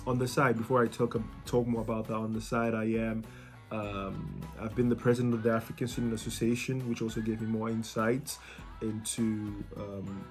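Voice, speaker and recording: A young man from Cameroon who talks casually to his viewers about coaching students, friendly, with many ums and repeated words. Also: on the low side. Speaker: YouTuber and student life coach.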